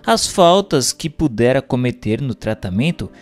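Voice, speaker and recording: Man, formal scripted narration, animated intonation, long reverb, faint music. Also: medium pitch, moderate pace. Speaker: narrator and questioner. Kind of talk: scripted dramatized reading.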